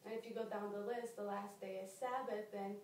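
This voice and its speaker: A woman's voice: mid-range voice, fairly even intonation, a little fast, a little mellow, a little hiss or hum. A woman from Costa Rica answering interview questions, hesitant and full of ums. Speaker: job applicant. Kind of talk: mock job interview. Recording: echoey room from far off.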